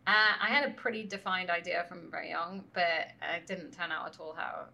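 A woman, answering interview questions thoughtfully with many pauses and restarts, at a conference venue. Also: on the low side, fairly even intonation, gravelly, bright, forceful tone. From France. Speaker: guest. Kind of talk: conversational interview. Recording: faint background ambience at a summit.

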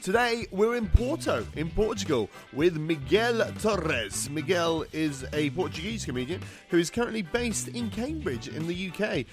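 A man's voice: sits high, sing-song, normal speed, few pauses, over music. A man hosting a podcast, upbeat and jokey as he introduces the show and interviews a guest. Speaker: podcast host.

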